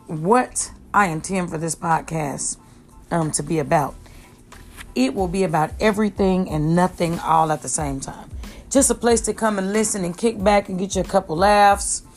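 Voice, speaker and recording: A woman with a raspy voice hosting her own podcast from home, rambling casually and full of slang. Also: medium pitch. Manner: slangy, chatty, addresses listeners as y'all. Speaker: podcast host.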